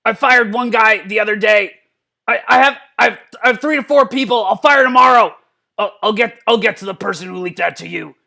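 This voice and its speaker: A man voicing a belligerent, drunken old mad scientist in a cartoon-style comedic reading, gravelly and stammering, repeating himself and cursing. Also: high-pitched, fairly quick, bright, forceful tone, full character voice. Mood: angry, threatening. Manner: stammering, repeating words, profane.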